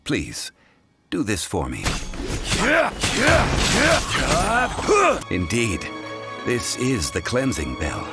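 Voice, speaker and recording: Refined scholarly gentleman, low voice, expressive intonation, formal measured game dialogue, music behind. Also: vocal fry, full character voice. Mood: composed. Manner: measured, formal, refined, old-fashioned phrasing. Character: composed, courteous, scholarly gentleman versed in old rituals. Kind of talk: scripted video game dialogue.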